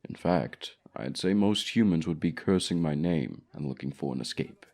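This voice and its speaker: A man voicing an ancient, lonely, haughty male dragon in a fantasy audio roleplay, speaking in a formal, measured, courteous way. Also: rumbling low, animated, creaky, a little mellow, full character voice. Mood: gently reassuring.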